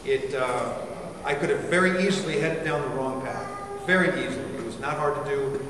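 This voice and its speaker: A man's voice: mid-range voice, brisk, recorded from a distance. A man in his forties from Chile, an army band musician giving an informal talk to cadets, chatty and quizzing the audience. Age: 40s. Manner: chatty, asks the audience questions. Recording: echoey hall, picked up from afar with background noise.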